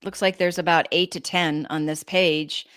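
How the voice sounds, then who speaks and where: A woman giving an informal explanatory presentation, reading out reports and commenting in a halting, think-aloud way. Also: fairly low pitch, steady tone, a bit bright, clean tone, dry recording. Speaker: presenter of a scam awareness talk for elderly people.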